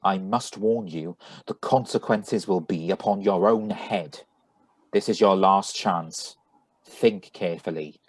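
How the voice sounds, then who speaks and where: A man's voice: sits low, slow, no echo. A man playing a shady, insistent little stranger in a radio play reading, formal and courteous with menace underneath. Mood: urgent, pleading.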